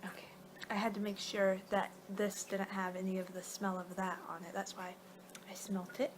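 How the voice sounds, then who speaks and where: A woman performing a playful ASMR roleplay, rambling along in soft speech and whispers as if losing her train of thought. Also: mid-range voice, little pitch movement, moderate pace. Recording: clear background noise.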